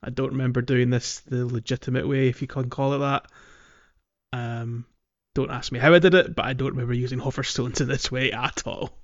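A man's voice: medium pitch, fairly even intonation, a little fast, lo-fi sound. A man hosting a podcast, casually explaining how a video game plays. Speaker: podcast host.

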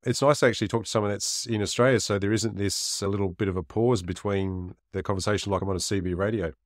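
Male podcast host, quick, lowish voice, inquisitive, dry sound. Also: steady tone, no room sound. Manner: friendly, inquisitive. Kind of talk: interview hosting.

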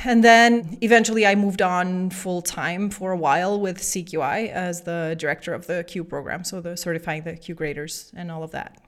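A woman from Belgium co-hosting a podcast over a call, chatting casually and laughing now and then. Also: lowish voice. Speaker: co-host and coffee judge. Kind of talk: casual chat. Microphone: video call from a home office.

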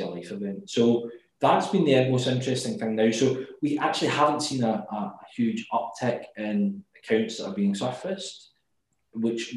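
A man's voice: lowish voice, a little mellow. A man with a Glasgow accent, speaking as an interview guest, thoughtful and often restarting his words. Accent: Glaswegian. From Scotland. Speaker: guest, company executive. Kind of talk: remote interview. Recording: very echoey room.

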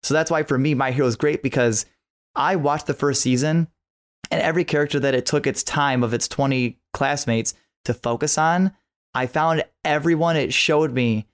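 A man's voice: a little high, moderate pace. A man co-hosting a podcast, giving his opinions in a casual, rambling way with "like" scattered through his talk. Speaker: podcast co-host.

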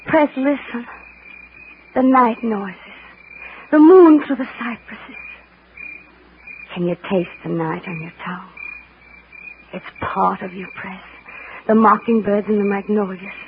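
Willful belle, tinny old radio. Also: female voice, mid-range voice, vocal fry, a little hiss or hum. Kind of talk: 1930s-40s radio melodrama acting. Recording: tinny old radio broadcast, music behind.

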